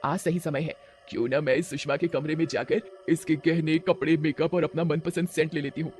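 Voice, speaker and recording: A man's voice: a little high, fairly quick, faint music behind, pitched below the performer's own voice, lightly acted. A man voicing a sly female witch who poses as a servant woman, with a wheedling manner, in a horror story. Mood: scheming. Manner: wheedling, flattering.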